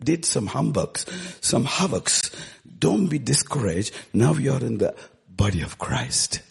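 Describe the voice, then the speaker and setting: A preacher with an Indian accent delivering an emphatic, exhorting charismatic sermon in short, repeated phrases with a husky, gravelly voice. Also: mid-range voice, very animated, measured pace.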